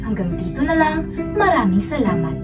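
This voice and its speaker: A woman's voice: on the high side, big pitch swings, normal speed. A woman playing an earnest activist leader who tells her own story emotionally in a radio drama. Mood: triumphant, earnest. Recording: distant mic under loud music.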